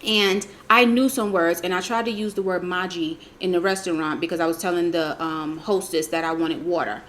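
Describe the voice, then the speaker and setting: American woman co-host, low-pitched, chatty and patient, faint noise behind. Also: steady tone, normal speed, fairly bright tone. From United States. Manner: chatty, explains patiently with fillers. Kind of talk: casual travel chat.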